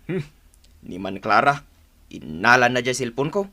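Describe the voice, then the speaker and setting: A man's voice: mid-range voice, lively intonation, moderate pace, pushed and bright, dry recording. A man playing an eager, coaxing son in an Ilocano radio drama, with an Ilocano Filipino accent.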